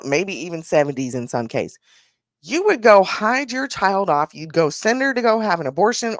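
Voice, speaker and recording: A woman's voice: on the low side, dry recording, studio quality. A woman hosting a casual commentary podcast, talking in a sassy, blunt way, stretching out her rhetorical questions. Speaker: podcast host.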